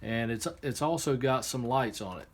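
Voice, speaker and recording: A man giving a casual, unscripted hands-on product demonstration, explaining step by step in a halting way. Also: medium pitch. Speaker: product reviewer. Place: indoor workshop. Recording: clear background noise, room ambience.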